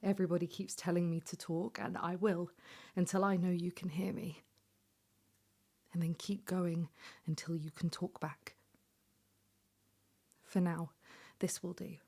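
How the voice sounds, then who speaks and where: Soft, low, even-voiced tender woman. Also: measured pace, pauses often. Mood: tender, hopeful. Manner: soft, breathy, often near whisper. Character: devoted, tender woman reading her letter to injured loved one. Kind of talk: intimate scripted letter monologue.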